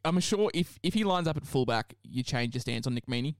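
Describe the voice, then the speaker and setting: Brief: young Aussie male podcast co-host, smooth dry close sound, blunt and opinionated. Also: mid-range voice, expressive, fairly quick, dry recording. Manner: blunt, swears casually, opinionated. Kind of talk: casual sports chat.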